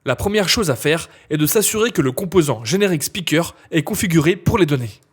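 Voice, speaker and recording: Higher-pitched husky male tutorial narrator. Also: expressive, a little fast. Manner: clear step-by-step instruction. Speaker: instructor.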